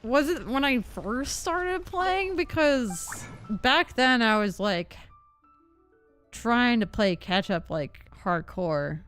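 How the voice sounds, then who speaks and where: A woman's voice: a little high, expressive, takes it slowly, a bit bright. A woman chatting casually and thinking aloud as she livestreams a game. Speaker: game streamer. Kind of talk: casual livestream gaming chat. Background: game music.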